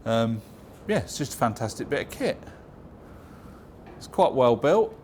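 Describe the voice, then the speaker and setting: A man's voice: medium pitch, sing-song, unhurried, a little mellow, slight background noise. A man presenting his own video in a chatty, informal, plain-spoken way, explaining things casually to camera. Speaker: video channel host.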